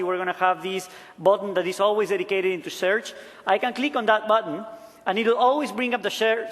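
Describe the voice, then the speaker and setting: Male product demonstrator, Peruvian accent, fairly high voice, presenting live on stage in a big reverberant hall. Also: a bit bright. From Peru. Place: large conference hall stage. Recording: echoey large conference hall.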